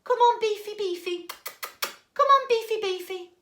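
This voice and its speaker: A woman's voice: very high-pitched, moderate pace, a bit bright, reverberant, pitched above the performer's own voice. A woman voicing a simple, cheerful, hapless young farm lad with rustic, colloquial speech, in an oral folk tale. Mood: coaxing.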